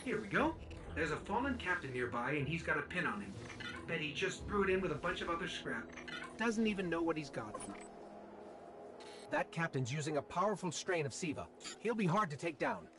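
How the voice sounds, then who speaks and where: Animated, high-pitched little robot companion. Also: male voice, fairly quick, background noise. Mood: alert, urgent. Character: small chatty robot companion, eager, wry, helpful guide. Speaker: companion guide to the player. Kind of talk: video game voice acting, mission dialogue. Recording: game music under the voice. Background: game music.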